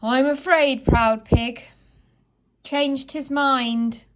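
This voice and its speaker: A woman's voice: high-pitched, fairly even intonation, extremely slow, pitched above the performer's own voice, poor audio. A woman voicing a wise old male owl who explains things kindly but sternly in a children's storybook. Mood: regretful. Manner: emphatic, explaining, admonishing. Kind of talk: children's storybook read-aloud.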